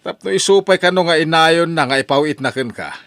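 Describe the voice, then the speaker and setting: A man's voice: fairly high pitch, fairly quick, a bit bright, dry recording. A man with an Ilocano accent playing a concerned older brother, passing on news in a chatty way in a radio drama. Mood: gossiping. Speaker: elder brother.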